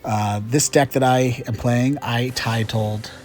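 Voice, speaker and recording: A man's voice: mid-range voice, fairly even intonation, takes it slowly, background noise. A chatty, upbeat man hosting casual gameplay commentary with frequent fillers, a little congested from a cold. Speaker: gaming channel host.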